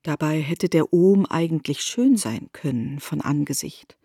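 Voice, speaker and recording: A woman narrator with a lowish, dark and mellow voice reads literary prose for a radio drama. The sound is close and very dry. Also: measured pace, husky, no room sound.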